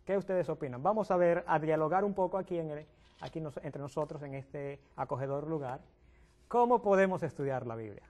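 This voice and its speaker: Man, youth pastor host, slightly high voice, halting restarts, studio. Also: a little mellow. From Dominican Republic. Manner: halting, restarts words, addresses viewers at home.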